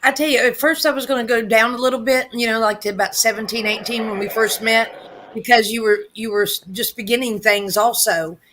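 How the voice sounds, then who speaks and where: A woman on a comedy panel, talking quickly and correcting herself as she rambles. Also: fairly high pitch, fairly even intonation, a bit bright. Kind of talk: casual chat.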